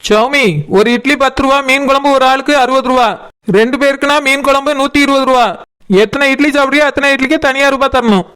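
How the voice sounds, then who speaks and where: A cheeky, stubborn cartoon stall seller with a Kanyakumari Tamil accent. The voice is extremely high and bright, rattling out emphatic sales patter. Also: fairly even intonation, quick pace. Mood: businesslike. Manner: hawking, bargaining, emphatic. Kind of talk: comic cartoon dialogue.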